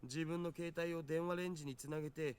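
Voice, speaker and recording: An actor voicing an easygoing, nerdy young hacker sidekick in a Japanese visual novel. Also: male voice, a little high, fairly even intonation, rapid-fire, smooth, lightly acted. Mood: matter-of-fact.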